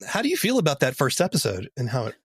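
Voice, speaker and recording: A man's voice: mid-range voice, wildly swooping pitch, quick pace, husky, dry recording, clean studio sound. A man hosting a podcast, asking conversational interview questions.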